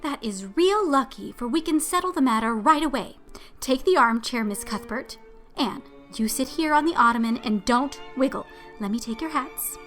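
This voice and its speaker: A woman's voice: high-pitched, expressive, moderate pace, a bit bright, soft background music. A woman playing a fussy, bustling, chatty small-town housewife who gushes and exclaims, in a dramatized storybook. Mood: bustling, excited. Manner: effusive, exclamatory, gushing. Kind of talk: dramatized audiobook acting.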